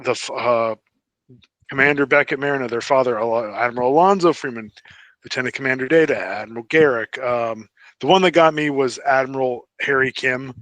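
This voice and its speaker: A man co-hosting a podcast in casual fan chat, thinking aloud in a halting way. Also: mid-range voice, a bit bright, dry recording. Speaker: podcast co-host.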